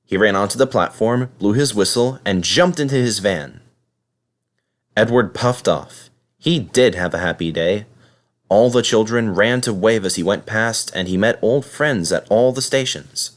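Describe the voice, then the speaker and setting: A man's voice: fairly low pitch, unhurried. A man narrating in the manner of a children's storybook reader. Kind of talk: storybook narration and spoken video introduction.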